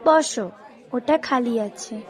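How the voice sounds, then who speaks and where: A woman playing a gentle, friendly blind teenage schoolgirl in an audio drama, with a soft, melodious, reassuring delivery. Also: a little high, slow pace, faint music behind. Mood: welcoming. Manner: tender, reassuring.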